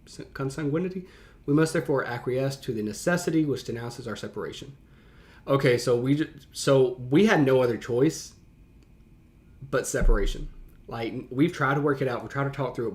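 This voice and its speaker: An American man with a mid-range voice gives off-the-cuff reaction commentary. He thinks aloud like a teacher working through a text, dropping into colloquial slang and animated paraphrase. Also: mid-range voice. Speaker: teacher reacting to a historical reading.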